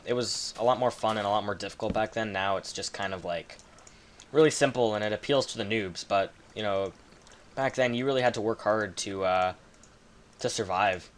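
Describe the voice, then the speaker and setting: A man's voice: lowish voice, fairly bright tone. A man with a Canadian accent giving rambling, casual commentary while playing a game, swearing now and then. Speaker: gaming video creator.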